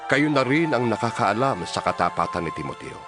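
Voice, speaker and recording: A man voicing an earnest apostle in a dramatized Bible reading, exhorting his flock in solemn, deliberate oratory. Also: medium pitch, very animated, music in the background, close to an everyday voice. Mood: earnest, hopeful. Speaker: scripture reader.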